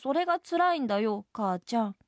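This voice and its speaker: A little jellyfish boy from a storybook, acted out by a woman, pitched high and plaintive. He protests in a childish, whining way, pleading to be believed. The sound is close and dry. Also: expressive, fairly quick, no echo, lightly acted. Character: small whiny jellyfish boy, teased and plaintive.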